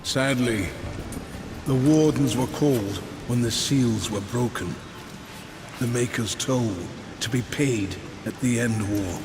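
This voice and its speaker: Guy doing a tired old mentor in a fantasy game, slow n solemn, echoing hall, music behind. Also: medium pitch, background noise, close to an everyday voice. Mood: solemn, explaining. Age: older. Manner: solemn, measured. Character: weary old male Maker, solemn mentor. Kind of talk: video game character acting. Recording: long reverb, music behind.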